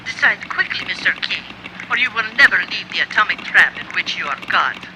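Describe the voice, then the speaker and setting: A woman's voice: medium pitch, lively intonation, a little fast, noisy background, natural voice. A woman playing a menacing, gloating villain mastermind in old-time radio serial style, theatrical and imperious. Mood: threatening.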